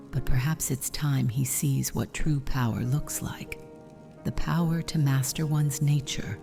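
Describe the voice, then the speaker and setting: Very deep, hushed vampire mother played by a woman. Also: full character voice. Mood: solemn urging. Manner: grave, measured, formal. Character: solemn vampire mother confessing her past to her daughter. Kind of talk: scripted fantasy roleplay dialogue.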